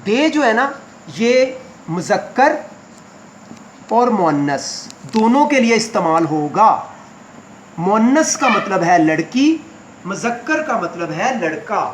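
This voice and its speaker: A man teaching spoken English to beginners, going word by word and repeating each meaning slowly. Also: high voice, sing-song, echoey room, slight background noise, low-quality recording. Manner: slow, repetitive word-by-word explanation.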